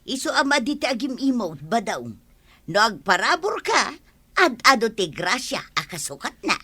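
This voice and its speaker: A woman's voice: on the high side, very animated, normal speed, sharp tone, breathy edge, full character voice. A woman with an Ilocano accent playing a talkative, good-natured village woman in a radio comedy drama. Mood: pleased.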